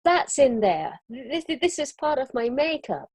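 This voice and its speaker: A European woman in an earnest, expressive conversation about spirituality, often laughing as she talks, on a video call. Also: high-pitched, a little slow, breathy edge, no room sound, studio quality. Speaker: summit guest.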